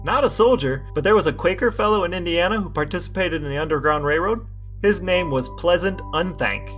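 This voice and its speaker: Male co-host, voice a touch high, dry and matter-of-fact, casual comic banter. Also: animated, fairly quick, fairly bright tone, soft background music. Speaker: podcast co-host. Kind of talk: casual banter, partly reading texts aloud.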